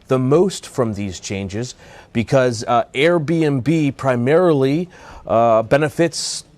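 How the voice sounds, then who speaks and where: A man with a mid-range voice reports live as a news correspondent. His delivery is formal and somewhat halting, with hesitations between phrases. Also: mid-range voice, lively intonation, darkish tone.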